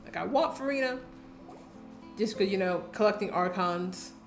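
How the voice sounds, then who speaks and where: A woman's voice: medium pitch, moderate pace. Wry young woman streaming a game. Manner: wry, rambling, self-deprecating. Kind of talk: casual live gameplay commentary. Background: game music.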